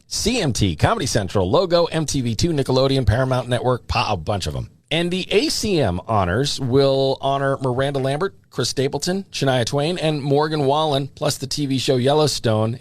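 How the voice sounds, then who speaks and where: A man's voice: mid-range voice, no echo. An American man presenting on country music radio in a chatty, casual, promotional style into a studio microphone. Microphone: studio broadcast microphone. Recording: radio aircheck with music behind.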